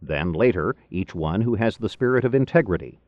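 Male narrator for scholarly book: deliberate, rhetorical, widely varied intonation, dry close sound, muffled lo-fi tape. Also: lowish voice, animated, dry recording. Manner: deliberate, rhetorical, formal delivery with emphatic phrasing. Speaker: audiobook narrator. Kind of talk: scripted narration of a philosophy book. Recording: dull lo-fi cassette tape recording.

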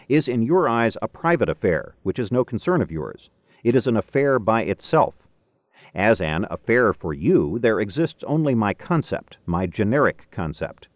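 A man narrating a philosophy book in a formal, deliberate, rhetorical manner, with emphatic phrasing, on a cassette recording. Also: on the low side, dry recording. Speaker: audiobook narrator. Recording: dull lo-fi cassette tape recording.

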